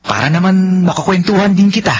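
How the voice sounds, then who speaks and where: An actor playing a friendly, slightly shy young office worker in a Filipino radio drama, with a casual, eager delivery. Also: male voice, high-pitched, a little fast, slightly rough. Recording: dull lo-fi audio with background noise.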